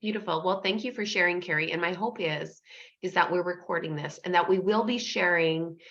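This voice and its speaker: A woman's voice: fairly low pitch, brisk, fairly bright tone. A woman moderating a panel, gracious and brief with her thanks. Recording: dull lo-fi audio.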